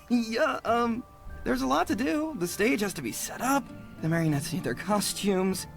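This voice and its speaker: Casting: bashful, earnest young puppeteer, stammering, gravelly edge, game dialogue over music. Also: male voice, very high voice, animated, a little slow, heavily acted. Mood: hesitant, pleading. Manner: hesitant, stammering. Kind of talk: video game voice acting.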